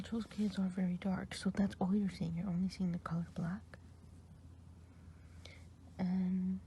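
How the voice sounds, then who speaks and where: A woman performs a kindly good witch in a whispered ASMR roleplay. The voice stays soft and mellow, close and unhurried, with patient questions to the listener. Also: fairly low pitch, slight background noise.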